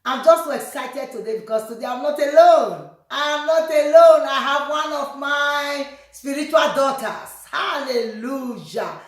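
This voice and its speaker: A high-voiced woman pastor preaching in short, paced phrases so an interpreter can follow. She keeps calling out praise words between lines, and her voice rings out in a very echoey room. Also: takes it slowly, hardly any pauses. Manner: repeats praise phrases like hallelujah and glory be to God. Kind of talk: sermon with live interpretation.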